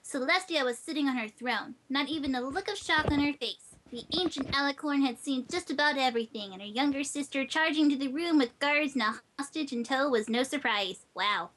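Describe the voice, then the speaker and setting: A woman with a high voice reads a story aloud. She narrates and voices the dialogue as an amateur in a podcast group. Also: fairly quick, hardly any pauses, fairly bright tone, a little hiss or hum, rough recording. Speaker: podcast member reading a fan story aloud.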